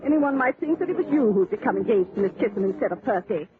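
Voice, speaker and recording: An actress playing a haughty, sugary and sly society hostess with an upper-class British accent, in 1940s radio style. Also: female voice, medium pitch, animated, quick pace, lightly acted. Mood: arch, teasing. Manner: gushing, arch, condescending. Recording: noisy, tinny 1940s radio broadcast.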